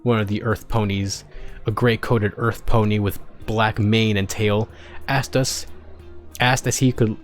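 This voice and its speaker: A man narrating a story in the first person as a streamer, expressive and a little halting, correcting himself as he goes. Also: fairly low pitch. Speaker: streamer reading fan fiction aloud. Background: background music.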